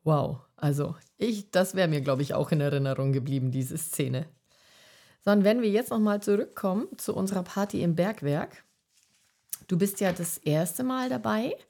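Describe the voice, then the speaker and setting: Female podcast interviewer, lowish and mellow, casual chat delivery. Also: lively intonation, moderate pace.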